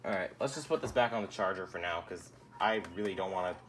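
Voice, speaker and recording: A man's voice: sits low, fast, over music. A young man showing off his radio collection in a casual, rambling way, with the odd muttered curse. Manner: laid-back and rambling, with mild swearing. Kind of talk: casual rambling product demo.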